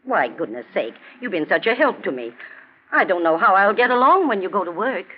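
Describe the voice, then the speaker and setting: A woman's voice: mid-range voice, big pitch swings, fairly quick, lightly acted. An actress playing a wealthy, motherly, genteel landlady with a polite, fussing manner in a 1940s radio drama. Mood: tender. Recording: muffled, noisy 1940s radio recording.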